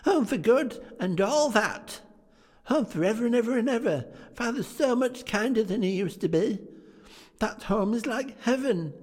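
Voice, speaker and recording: High piping little girl done by a man, gleeful, breathless, bouncy, long hall echo. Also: animated. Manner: breathless, rushing with glee. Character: gleeful little girl, excited young sister. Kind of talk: live reading of a classic tale.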